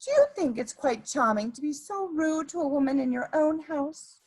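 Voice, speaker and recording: A woman's voice: sits high, lively intonation, normal speed, a little mellow. A woman playing a scheming, seductive society adventuress in a dramatic reading of a period comedy, with theatrical, coquettish emphasis. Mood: playful.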